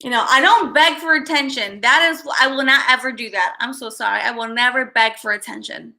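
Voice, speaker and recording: High bright-voiced woman rambling to her livestream chat. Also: brisk, vocal fry. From Chicago, USA. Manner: rambling, chatty, reads viewer names aloud. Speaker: livestream host.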